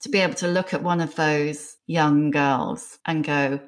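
A woman's voice: sits low, fairly even intonation, measured pace. A woman hosting a chat show, talking in a conversational, gossipy way. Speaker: host. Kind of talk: casual interview chat.

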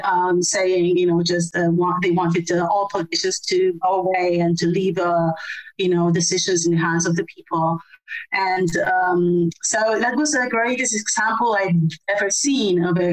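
A woman's voice: medium pitch, low-quality recording. A middle-aged woman from Argentina, a podcast guest answering at length in a thoughtful, conversational way with many fillers and restarts. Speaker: podcast guest, civil society researcher.

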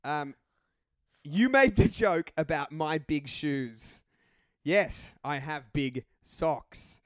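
A man's voice: a little high, drawn-out words, no echo. A man co-hosting a comedy podcast with wry, teasing, mock-serious banter in a casual chat style. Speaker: podcast co-host.

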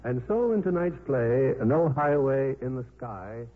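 A man's voice: mid-range voice, expressive, a little slow. A man hosting and narrating a 1950s radio theatre show in a polished, formal, scripted showman's style. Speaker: host and narrator. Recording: narrow-band 1950s radio recording.

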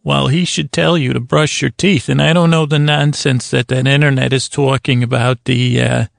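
Man playing a creaky, scolding old grandmother. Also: medium pitch, steady tone, moderate pace, dry recording, clean studio sound. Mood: nagging. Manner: fussy, reproving. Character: scolding, nagging old grandmother obsessed with toothbrushing.

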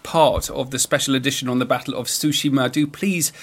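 A man's voice: mid-range voice, steady tone, brisk, fairly bright tone. A man hosting a podcast, delivering scripted historical narration.

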